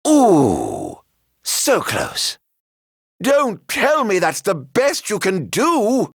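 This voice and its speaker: A man playing a middle-aged video game villain, sardonically genteel and flamboyant, with mock-polite, drawn-out delivery. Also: high-pitched, wildly swooping pitch, gravelly, breathy edge, no room sound, studio quality, full character voice. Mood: mocking, playful. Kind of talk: video game battle voice lines.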